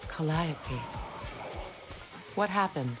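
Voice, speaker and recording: A woman's voice: low-pitched, lively intonation, extremely slow, over music, exaggerated voice. A woman playing a proud, grieving, guarded mother in a video game, with cutting, sardonic delivery. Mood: subdued. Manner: cutting, sardonic, clipped retorts. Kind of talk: dramatic video game acting.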